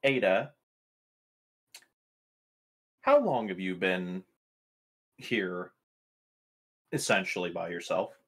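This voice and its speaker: A man with a low voice plays a tabletop roleplaying game. He speaks casually and methodically, hesitating as he works through his questions. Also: animated, slow pace, pauses often.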